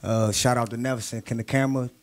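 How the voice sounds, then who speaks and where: A man's voice: medium pitch, steady tone, fast. A man speaking as a guest promoter on a casual show, laid-back and repeating himself. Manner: laid-back, repeats "you know what I'm saying". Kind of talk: casual talk-show interview.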